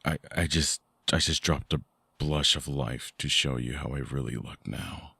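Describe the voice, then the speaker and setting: Guy acting as a brooding vampire ex, super low fried husky voice, slow n hushed, wounded and obsessive, asmr roleplay right up close. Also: no echo, exaggerated voice. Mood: anxious, pleading. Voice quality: gravelly, husky, breathy. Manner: slow, halting, sighing, hushed confiding. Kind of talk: intimate scripted ASMR roleplay audio drama. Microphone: close binaural mic, dry sound.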